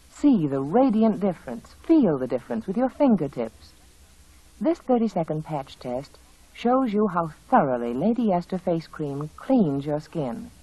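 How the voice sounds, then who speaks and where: A husky woman's voice on a dull old radio recording, reading a 1940s commercial. She is persuasive and instructive, with a lively, varied intonation. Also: medium pitch, dry recording. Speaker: radio advertising spokeswoman.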